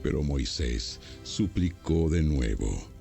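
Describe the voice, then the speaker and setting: A man's voice: extremely low pitch, expressive, slow, creaky, dark tone, soft background music. A man narrating a scripted, dramatized Bible audio.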